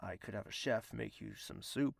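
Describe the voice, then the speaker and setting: A man's voice: fairly low pitch, normal speed, vocal fry, no room sound, studio quality. An actor in an audio drama playing an anxious, lovesick nobleman, hesitant and brooding as he talks and narrates. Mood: gentle, dejected. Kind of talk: audio drama dialogue and first-person narration.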